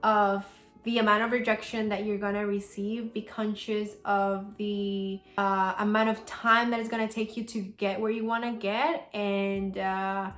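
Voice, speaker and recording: A woman's voice: medium pitch, level tone, measured pace, hardly any pauses, a bit bright. Chatty young woman. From El Salvador. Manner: chatty, many fillers of "like". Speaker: petite model answering viewer questions. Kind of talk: casual Q&A vlog. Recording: roomy sound with faint music.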